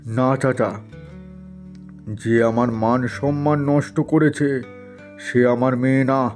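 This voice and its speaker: A man as a proud, slow-speaking landlord father. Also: mid-range voice, fairly even intonation, darkish tone, faint music behind, natural voice. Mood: hurt, indignant. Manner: indignant, commanding. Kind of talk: cartoon story voice acting.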